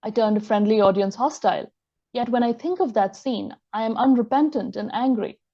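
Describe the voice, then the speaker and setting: Woman, lecturer, measured academic reading, mellow tone, slightly muffled recording. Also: fairly high pitch. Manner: measured, reads quotations aloud.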